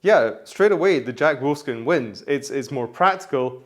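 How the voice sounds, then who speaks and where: Hoarse man chatting in a vlog. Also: medium pitch, animated, moderate pace. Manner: rambling, chatty, frequent restarts. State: hoarse from flu. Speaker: menswear vlogger. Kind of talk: casual vlog talk.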